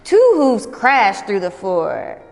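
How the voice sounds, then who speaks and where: A young adult woman volunteering as a reader, reading children's picture books aloud in an expressive, sing-song way. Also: mid-range voice, slow pace, creaky, long reverb tail, faint music behind. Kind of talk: children's storytime read-aloud.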